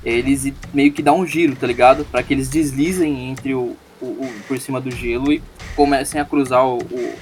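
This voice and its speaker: A man, a player describing his character's actions in slangy, halting speech. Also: medium pitch, fairly even intonation, a little hiss or hum. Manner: slangy, halting with repeated words. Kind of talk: in-character casual play. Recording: with music bed.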